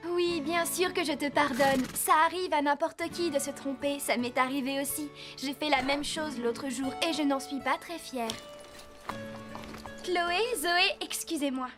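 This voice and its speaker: A sweet young girl, speaks in a high voice. She comes across gentle and earnest, in French cartoon dub style with music underneath. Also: unhurried. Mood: sincere.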